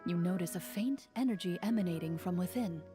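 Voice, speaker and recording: A woman's voice: on the low side, a little fast, natural voice. A woman voicing a solemn storyteller who narrates a video game quest. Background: game music.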